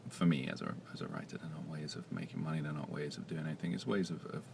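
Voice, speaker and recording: Very deep, gravelly soft-spoken man. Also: fast, slight background noise. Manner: quiet, soft-spoken, hesitant with many fillers. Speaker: independent comic writer. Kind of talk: casual vlog monologue.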